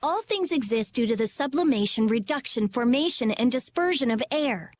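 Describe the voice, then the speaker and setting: Intense, clever teenage mage in a game, high animated voice. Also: female voice, normal speed. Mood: intent. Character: fiery, intense teenage girl mage, brainy and stubborn. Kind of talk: anime-style video game dialogue.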